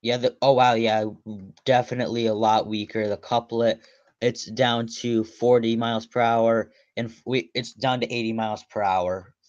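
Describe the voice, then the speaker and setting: A man hosting a storm-chasing livestream, commenting on radar in a halting way with frequent restarts. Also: mid-range voice, flat delivery, dry recording. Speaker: storm chaser livestream host. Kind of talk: live weather radar commentary. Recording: dull lo-fi dry audio.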